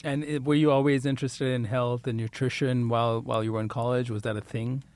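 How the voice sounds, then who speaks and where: A man hosting a podcast, reading a scripted intro and then asking questions in a relaxed way with fillers. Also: medium pitch, quick pace. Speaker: podcast host. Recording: intro with guitar music.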